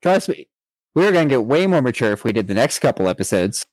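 A man co-hosts a casual chat show with a very lively, swinging delivery. He animatedly retells favorite bits. The sound is dry and close, with little room around it. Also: mid-range voice, fast, dry recording, poor audio. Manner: animatedly acts out quoted scenes. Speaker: podcast co-host. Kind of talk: casual chat about an anime parody.

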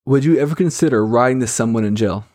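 A man's voice: medium pitch, fast, darkish tone. A man hosting a casual phone interview with a teasing, bantering way of talking. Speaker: host of a call-in segment.

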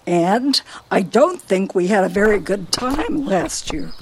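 An actress with an American accent playing a bossy, forgetful, scolding older wife in a radio play. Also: female voice, mid-range voice, very animated, slow pace, background noise. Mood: suspicious, fussy. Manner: scolding, fussy.

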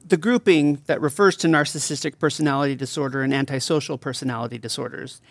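Man playing an earnest, creaky-voiced male advocate. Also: mid-range voice, fairly even intonation, quick pace, no room sound, lightly acted. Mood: earnest, explanatory. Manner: earnest, didactic. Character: earnest, thoughtful male survivor advocate giving explanations. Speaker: interview guest. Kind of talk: scripted explanatory interview acting.

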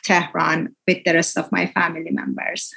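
A woman in her forties with a Persian accent, speaking English as a second language and giving an earnest personal testimony in an interview, pausing often with small grammar slips. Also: sits low, little pitch movement, gravelly, pushed and bright, slight background noise, rough recording. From Iran. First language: Farsi. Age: 40s. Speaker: interview guest.

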